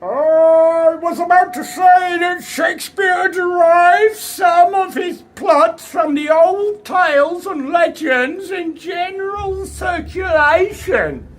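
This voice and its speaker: A woman voicing a bearded Victorian male author who rants in furious, booming oratory from a podium. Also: slow, hardly any pauses. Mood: ranting.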